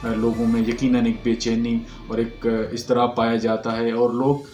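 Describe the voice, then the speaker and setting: A Pakistani man hosts a news commentary in a reverberant room, with music playing underneath. His delivery is level and monotone at a medium male pitch. He speaks haltingly to his viewers, often searching for the next phrase. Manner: halting, hesitant, searching for words. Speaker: news channel host. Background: background music.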